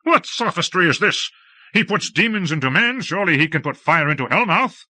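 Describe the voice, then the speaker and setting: Man doing angry lord, barking orders, raised pitch, bright forceful tone. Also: expressive, brisk, dry recording, heavily acted. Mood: commanding. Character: imperious, hot-tempered male lord commanding a war machine.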